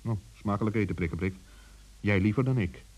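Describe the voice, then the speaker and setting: A man's voice: on the low side, fairly quick, darkish tone. A man narrating a children's story intimately, speaking directly to listeners and characters.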